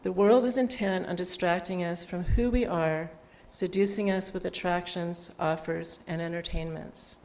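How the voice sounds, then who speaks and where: Woman with a lowish voice reading a calm reflection at a service. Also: moderate pace, few pauses, low-quality recording. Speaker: service leader. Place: church sanctuary.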